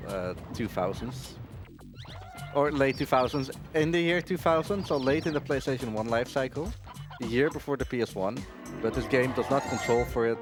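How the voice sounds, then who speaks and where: Casual male speedrunner over loud game music. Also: mid-range voice. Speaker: speedrunner playing live. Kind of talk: casual live speedrun commentary.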